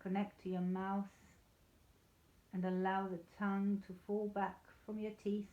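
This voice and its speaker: A woman leading a guided yoga nidra meditation in a soothing, unhurried, gently reassuring way. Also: mid-range voice, level tone. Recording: slightly dull, echoey room sound.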